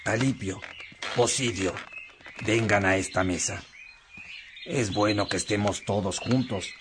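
A man's voice: on the low side, measured pace, noisy background, natural voice. A man acting as a concerned, brotherly bishop in a radio drama. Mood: fraternal, concerned. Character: Aurelio, concerned, fraternal visiting male bishop.

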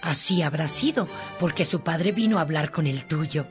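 A woman playing a gentle, dignified mother who speaks affectionately and instructively in a radio drama. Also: low-pitched, moderate pace, music in the background, natural voice. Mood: gentle, guiding. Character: gentle, dignified mother guiding her daughter.

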